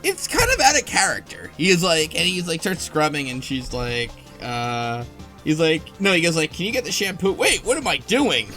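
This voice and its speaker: A man explaining game choices in a casual, somewhat halting way during a group discussion. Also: a little high, sing-song, bright, forceful tone. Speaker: podcast panelist. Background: background music.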